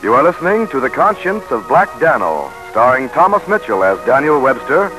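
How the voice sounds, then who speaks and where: A male old-time radio announcer with an American accent reads over music. His pitch moves widely in a scripted, formal announcement on a vintage broadcast recording. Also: big pitch swings, a little fast, pushed and bright, poor audio.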